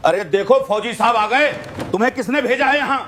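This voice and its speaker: A man playing a corrupt, arrogant, domineering police inspector in a scripted animated moral story. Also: very high voice, brisk, slight background noise. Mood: sneering. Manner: menacing, domineering. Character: bullying corrupt male police inspector, arrogant, cowardly when cornered.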